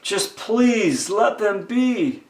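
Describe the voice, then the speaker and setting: A woman voicing a big, kindhearted male elephant from a storybook, speaking earnestly in a low, full voice. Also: lively intonation, darkish tone, roomy sound. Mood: earnest pleading. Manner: slow, earnest, emphatic.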